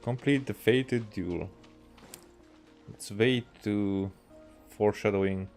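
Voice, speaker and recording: A male game streamer with a Hungarian accent and a fairly low voice. He comments casually, thinking aloud in halting phrases, with faint game music behind him. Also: vocal fry, a little mellow.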